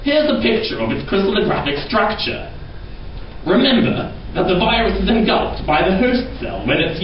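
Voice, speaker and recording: A high, swooping, theatrical scientist puppet played by a young man who lectures with keen excitement. The recording is very echoey and distant, with clear noise, like a homemade educational puppet show. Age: young adult. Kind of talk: amateur educational puppet show, scripted explanation. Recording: very echoey room, distant dull noisy microphone.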